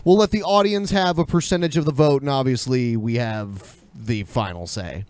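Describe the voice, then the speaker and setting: A man's voice: mid-range voice, normal speed, a little mellow. A man co-hosting a podcast, chatting casually in an informal, rambling way. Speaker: podcast co-host.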